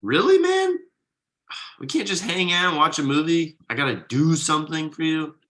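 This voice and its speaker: A man in a script table read playing a defensive, evasive husband and father, conversational and a little halting. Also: a little high, very animated, a bit bright. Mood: defensive, exasperated. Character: defensive, evasive middle-class husband and father writing ad copy.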